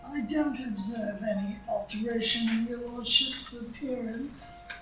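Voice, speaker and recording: A woman playing an ideal butler in a comedy play reading, deadpan, deferential and formally measured. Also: on the high side. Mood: impassive, formal. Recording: roomy, distant mic, music.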